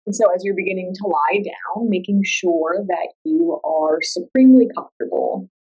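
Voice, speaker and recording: A woman guiding a meditation in a calm, soothing, unhurried way, leaving long pauses between instructions. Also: lowish voice, breathy edge, highs cut off. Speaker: yoga nidra meditation guide. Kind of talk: guided meditation.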